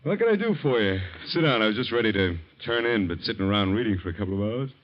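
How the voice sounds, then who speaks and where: An actor playing an indignant police officer in an old-time radio drama, on a muffled vintage broadcast. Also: male voice, lowish voice, expressive, fast talker, natural voice. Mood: drowsy, hospitable. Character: indignant police officer defending his brother-in-law, turning bitter.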